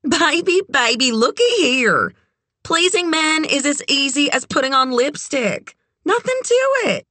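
A woman's voice: high voice, very animated, measured pace, pushed and bright, dry recording, voice pushed up, heavily acted. A woman voicing a brassy, worldly, amused Texan woman in an audiobook, sugary and frank, full of teasing endearments. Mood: bawdy, coaxing. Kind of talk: audiobook character dialogue.

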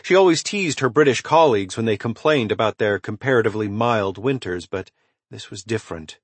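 A man reading a suspense thriller novel as scripted narration, in a dramatic, measured storytelling manner with emphatic swells and hushed drops. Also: on the low side, animated, slightly rough, no echo. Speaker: audiobook narrator.

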